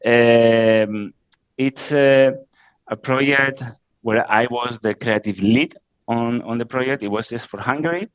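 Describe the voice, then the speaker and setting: A middle-aged man from Spain with a Spanish accent, answering interview questions in halting English full of pauses and fillers. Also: mid-range voice, clear, resonant tone, dry recording, muffled recording. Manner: halting, frequent "uh" fillers, non-native grammar. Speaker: interview guest, creative director.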